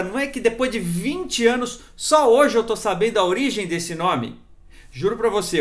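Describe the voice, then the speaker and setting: A presenter narrating history informally, with chatty, emphatic asides to the viewer. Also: medium pitch. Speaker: video presenter.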